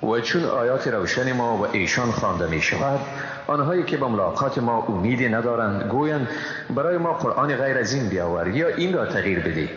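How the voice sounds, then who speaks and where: A man's voice: mid-range voice, lively intonation, a bit bright. Afghan Dari man reading scripture in heavy reverb. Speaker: translation reader. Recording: very echoey, reverberant, muffled, faint noise.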